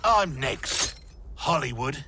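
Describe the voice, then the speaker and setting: An actor playing a gruff, cocky male mercenary villain who taunts and sneers in a video game cinematic. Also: medium pitch, expressive, takes it slowly, bright, forceful tone, a little hiss or hum. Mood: menacing.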